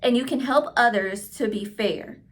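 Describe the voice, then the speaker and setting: A woman's voice: fairly high pitch, a little slow, lightly acted. A woman reading the part of a patient mother who explains things to her child in a storybook. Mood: patient, serious.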